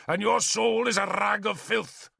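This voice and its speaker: A fanatical, wrathful bishop, put on by a male narrator, booms curses at the heathens. The voice is pitched high and rough with gravel. His tone is bright and swoops wildly up and down. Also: expressive, normal speed, dry recording, clean studio sound, exaggerated voice. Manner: booming preacher's denunciations.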